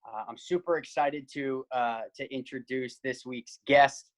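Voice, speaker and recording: A man co-hosting an interview over a video call, upbeat and energetic, with frequent fillers. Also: medium pitch, unhurried. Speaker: podcast co-host.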